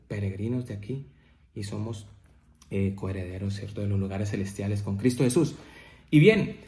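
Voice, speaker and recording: Man, preacher, family devotional sermon, mellow tone, roomy echo, often asks "¿cierto?". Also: fairly low pitch. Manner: repeats "¿cierto?" often, rises to emphatic peaks. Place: church.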